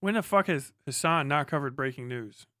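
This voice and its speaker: A man's voice: a little high, expressive, moderate pace, sharp tone, no room sound, clean studio sound. A man giving casual, sweary live commentary as a stream host, blunt and scornful in a slangy way. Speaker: livestream host reacting to a debate.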